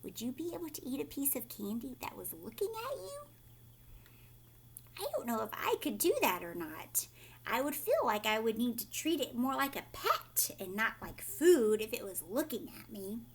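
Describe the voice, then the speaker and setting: A woman with a high, very animated voice reads a children's story aloud like a teacher. She narrates expressively and puts simple explanations and questions to her young listeners. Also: normal speed, a little hiss or hum.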